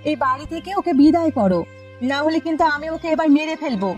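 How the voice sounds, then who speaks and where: A woman's voice: high-pitched, animated, quick pace, a little mellow, lo-fi sound, soft background music. A woman voicing a domineering, resentful village wife in a cartoon folk tale, nagging and threatening.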